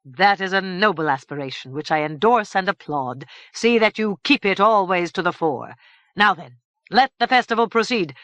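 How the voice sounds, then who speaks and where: A woman voicing a stately, grave fairy king who speaks in a measured, formal way. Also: lowish voice, animated, a bit bright, no room sound. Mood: solemn.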